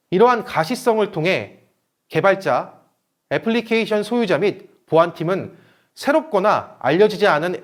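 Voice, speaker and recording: High-pitched man giving a formal presentation. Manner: formal, explanatory. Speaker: presenter, company manager. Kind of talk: scripted webinar presentation.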